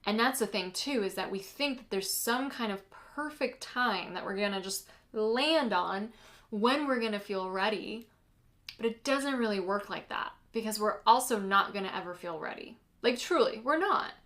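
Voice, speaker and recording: A woman's voice: mid-range voice, animated, moderate pace, a bit bright. A woman from the United States whose first language is Polish, hosting a casual solo podcast as a business coach, chatty and earnest with lots of "like" and "you know".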